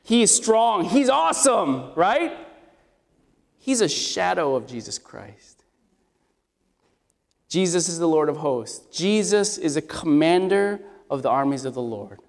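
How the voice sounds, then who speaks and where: High, scratchy-voiced male preacher. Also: very animated, slow, vocal fry, pauses often. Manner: conversational, asks the congregation questions. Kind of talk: expository sermon. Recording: long hall reverb.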